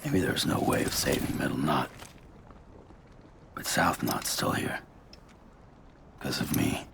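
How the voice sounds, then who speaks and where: An actor playing a weary, taciturn delivery man in a cinematic video game, with a gravelly voice. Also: very deep voice, takes it slowly, background noise, full character voice. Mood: subdued.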